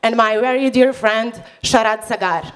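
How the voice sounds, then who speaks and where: A woman's voice: fairly high pitch, normal speed, smooth. Woman introducing a speaker. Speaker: event host introducing the speaker. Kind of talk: stage introduction.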